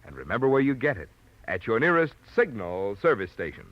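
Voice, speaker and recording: A man's voice: mid-range voice, sing-song, fairly quick, no room sound. A man with an American accent reading a scripted sponsor message as a polished, emphatic 1940s radio announcer. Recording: muffled old radio broadcast recording.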